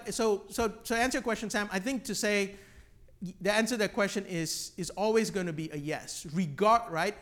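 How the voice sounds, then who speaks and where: A man from Malaysia teaching a class informally, halting and repeating words, often checking in with "right?". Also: high voice, a bit bright. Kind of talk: informal teaching with questions from the group.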